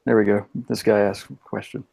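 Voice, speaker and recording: Low-pitched man in his thirties, dry sound. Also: level tone, a little fast, creaky, darkish tone, dry recording. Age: 30s. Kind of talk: casual online group chat.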